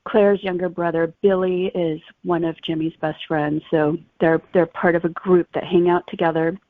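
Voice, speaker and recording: A woman's voice: lowish voice, steady tone, moderate pace, no echo. A woman author being interviewed, explaining things conversationally over a thin phone-like connection. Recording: thin phone-like, dry sound.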